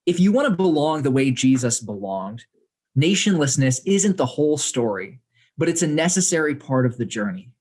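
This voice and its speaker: A man's voice: fairly high pitch, a little mellow. Man reading his book, dry wit. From United States. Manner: wry, measured reading with dry humor. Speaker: author reading and speaking to an audience.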